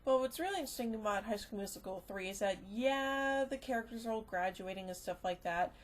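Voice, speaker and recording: A woman giving a casual, unscripted review, rambling and halting as she goes, often trailing off into filler phrases. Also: mid-range voice, lively intonation, slight background noise. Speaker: movie reviewer.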